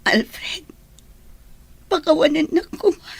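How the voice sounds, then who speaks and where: A gentle, conscience-stricken young woman of radio drama. Her voice sits a little high and sounds soft-hearted, with a faint wash of ambience behind it. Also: expressive, slow, vocal fry, slight background noise, exaggerated voice. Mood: pleading, tearful. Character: guilt-ridden, gentle young woman in a love triangle.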